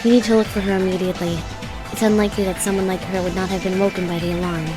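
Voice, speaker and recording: A woman's voice: mid-range voice, level tone, very fast, over music, lightly acted. Woman as a curt, commanding teenage student. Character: brusque, take-charge teenage student. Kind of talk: audio drama acting.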